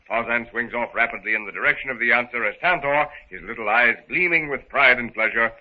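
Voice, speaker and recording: A man's voice: mid-range voice, fairly quick. Theatrical male narrator, muffled old radio. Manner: theatrical, formal storytelling. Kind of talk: dramatic 1930s radio narration.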